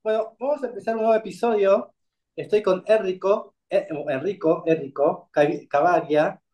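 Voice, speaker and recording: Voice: mid-range voice, moderate pace, dark tone. A host opening an episode and asking a guest casual, curious questions. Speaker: podcast host.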